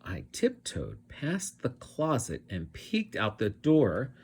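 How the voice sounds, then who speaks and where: A man reading a children's storybook aloud in a dramatic, expressive, suspenseful way. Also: medium pitch, slow, breathy edge. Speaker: read-aloud teacher narrating.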